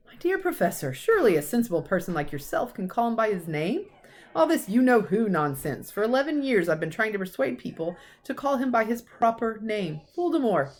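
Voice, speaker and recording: A woman voicing a gentle, calm, whimsical old male wizard in a storybook reading. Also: fairly high pitch, fairly quick, voice pushed up. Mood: gentle, mild.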